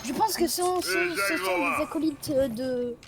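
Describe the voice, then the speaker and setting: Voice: sits high, normal speed, heavy background noise, lightly acted. A performer improvising a young survivor in a French zombie apocalypse game roleplay. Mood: helpful.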